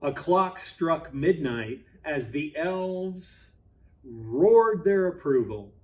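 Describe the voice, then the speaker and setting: Male storybook reader, pastor type, slightly high-pitched, slow and expressive, pausing for drama, reading to children. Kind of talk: storybook read-aloud for children.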